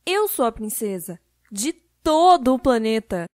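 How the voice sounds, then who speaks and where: Entitled Brazilian cartoon princess female actor, high, lively intonation, bossy teen drama. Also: unhurried, dry recording, studio quality. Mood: imperious. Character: bossy, spoiled eighteen-year-old space princess. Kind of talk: adult cartoon dub acting.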